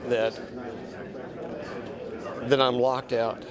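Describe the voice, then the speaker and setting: A man giving a halting answer as a news interviewee. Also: mid-range voice, little pitch movement, a crowd in the background.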